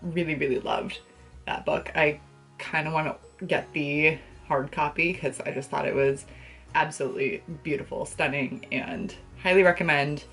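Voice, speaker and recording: Low-pitched young woman, gushing book vlogger. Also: fairly even intonation, moderate pace, creaky, a bit bright, faint music behind. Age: young adult. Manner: gushing, slangy, playful. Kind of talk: casual chat to camera about books. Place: small bedroom, indoors.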